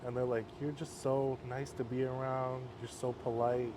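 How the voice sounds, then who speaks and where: Male counselor guest, measured and flat-toned, casual talk recorded outside near traffic. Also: medium pitch. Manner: calm, measured, self-described monotone. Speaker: interview guest, halfway home counselor. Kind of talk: casual conversation. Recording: outdoor street noise.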